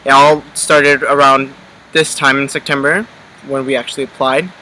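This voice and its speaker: A man's voice: mid-range voice, flat delivery, normal speed, bright, forceful tone, a little hiss or hum, poor audio. An eighteen-year-old man from Connecticut chatting casually as an exchange student vlogger, rambling with many ums and restarts. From Connecticut, USA. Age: teens. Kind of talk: casual chat.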